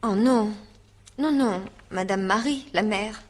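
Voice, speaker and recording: A woman's voice: medium pitch, takes it slowly. An actress playing a naive, chatty eighteen-year-old housemaid in a French radio mystery, stammering and rambling as she gives testimony. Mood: agitated, earnest. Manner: stammering, rambling, emphatic, colloquial.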